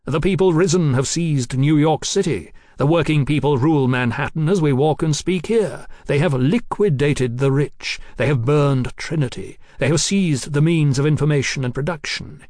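A man's voice: fairly high pitch, normal speed, few pauses, a little mellow, no room sound, studio quality, pitched above the performer's own voice, close to an everyday voice. A man voicing a zealous young revolutionary for an audiobook, haughty and grandiose, declaiming like an orator. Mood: fervent. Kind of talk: audiobook character acting.

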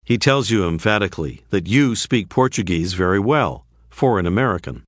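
A man narrating a language course, calmly prompting the learner with phrases to say. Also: fairly low pitch, dry recording, studio quality. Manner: slow, clear, prompting the learner. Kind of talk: scripted instructional narration.

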